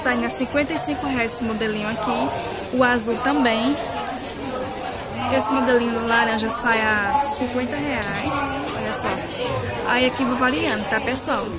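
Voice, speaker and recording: A woman's voice: fairly high pitch, fairly even intonation, moderate pace. Upbeat Brazilian woman vlogging a busy market. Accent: Brazilian Portuguese, Pernambuco. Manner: chatty, upbeat, addresses viewers constantly. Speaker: vlogger showing market suppliers. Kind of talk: casual shopping tour vlog. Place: busy outdoor clothing market. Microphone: handheld phone camera. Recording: loud market crowd with music. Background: loud crowd and music.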